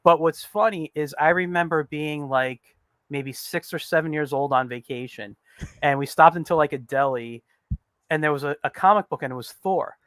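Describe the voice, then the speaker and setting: A man in his forties hosts an online interview show, chatty and upbeat with frequent "um"s. His voice is a little higher than most men's, smooth and resonant. The sound is dry and close. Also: moderate pace, fairly bright tone, dry recording. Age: 40s. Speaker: host.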